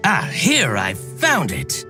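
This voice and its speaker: A man voicing a scheming, petty video game merchant with a theatrical, exclaiming delivery and drawn-out words. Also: on the high side, wildly swooping pitch, sharp tone, heavily acted. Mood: gloating. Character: scheming, excitable male merchant with a petty grudge. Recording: background music.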